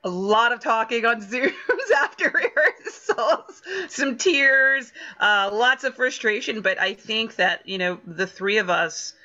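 A middle-aged woman chatting in an animated way on a video-call panel about her production work. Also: a little high, a little slow, hardly any pauses. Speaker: theater production crew member. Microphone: computer mic on a video call. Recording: dull video-call audio.

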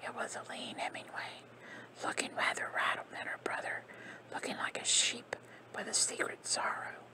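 A woman reading a comic novel aloud in a soft, steady whisper, in the style of an ASMR audiobook. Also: moderate pace. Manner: soft unvoiced whisper throughout. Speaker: narrator.

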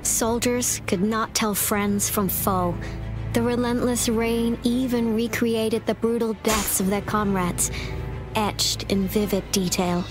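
An actress voicing a composed, solemn young woman director in a video game, speaking in a formal, measured, explanatory way. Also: on the high side, fairly even intonation, over music, low-quality recording. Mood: grave. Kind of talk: video game character dialogue.

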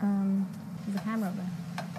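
A woman's voice: medium pitch, little pitch movement, moderate pace. A young British woman chatting casually in a playful, sweary, joking way in a kitchen. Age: young adult. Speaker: co-host of a charity cooking livestream. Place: studio kitchen, cooking noise behind. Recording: noisy busy kitchen livestream.

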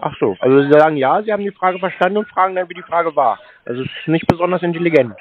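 A man's voice: on the high side, racing, noisy background. Sarcastic man on a phone line. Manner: sarcastic, mocking. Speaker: prank caller answering scam calls. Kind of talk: casual phone chat.

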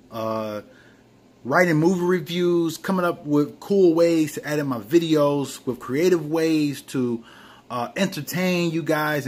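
A young man about thirty giving a casual motivational vlog from a small room at home, talking earnestly into a close camera and calling his viewers "man" a lot. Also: fairly high pitch. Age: young adult. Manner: earnest, animated, lots of "man" and "you know what I'm saying". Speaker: vlogger. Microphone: camera close to face, webcam or phone.

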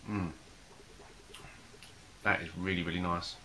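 A young man casually reviewing a beer at home, talking in a relaxed, hesitant way with many fillers. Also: low voice, a little slow, slight background noise. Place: small room in front of a plain wall.

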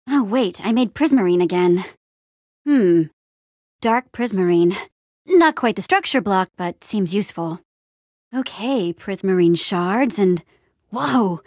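A woman playing a plucky, bossy but encouraging young adventurer heroine in a video game, with an expressive, casual, peppy delivery. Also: mid-range voice, dry recording, clean studio sound. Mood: curious, musing. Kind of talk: animated adventure game dialogue.

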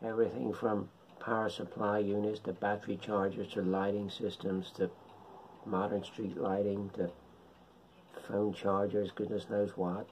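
A middle-aged man giving an informal tutorial in short, halting phrases, speaking at home into a camera microphone. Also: on the low side, flat delivery, a little mellow, a little hiss or hum, rough recording. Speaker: amateur radio hobbyist presenter. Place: indoors, living room at home.

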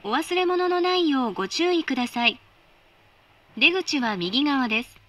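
A woman's recorded train announcement voice, polite and formal, spoken in measured phrases. Her tone is high and bright, and the sound is dry and close with no room around it. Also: lively intonation, dry recording.

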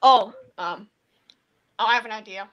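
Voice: mid-range voice, normal speed, highs cut off. A hesitant speaker thinking aloud while casually hosting a guessing game on a video call. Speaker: co-host of an online puppet show.

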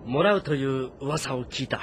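A man playing an earnest, composed warrior commander who speaks in a measured, probing way in a game drama. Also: medium pitch, a little hiss or hum, natural voice. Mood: wary. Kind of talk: dramatic audio-novel game acting.

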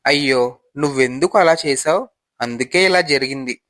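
A man's voice: medium pitch, moderate pace, fairly bright tone, no echo, studio quality, close to an everyday voice. A man playing an envious, brooding village husband who gardens, in a moral storybook tale. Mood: upset, scolding.

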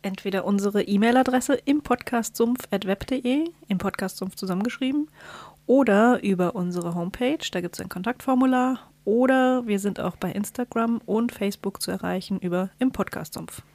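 A woman talks casually as a podcast co-host. Her voice sits at an average pitch, in a conversational style, with a dry, close microphone sound. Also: normal speed, a little mellow, dry recording.

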